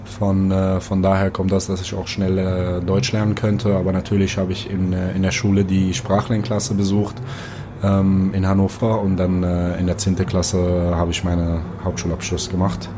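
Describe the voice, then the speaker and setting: A man in his twenties from Syria, answering interview questions in a halting way with many pauses. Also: deep voice, completely flat delivery, background noise. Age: 20s. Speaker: guest swimmer.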